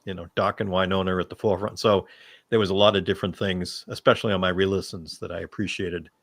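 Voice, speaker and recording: A man speaking as a fan panelist, giving his opinions in a measured, conversational discussion style. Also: sits low, little pitch movement, a bit bright, dry recording.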